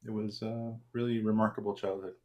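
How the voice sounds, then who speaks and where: Low-voiced man in his forties. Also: brisk. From Argentina. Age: 40s. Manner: relaxed, self-deprecating, frequent fillers and restarts. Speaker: trader, interview guest. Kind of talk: casual interview answers.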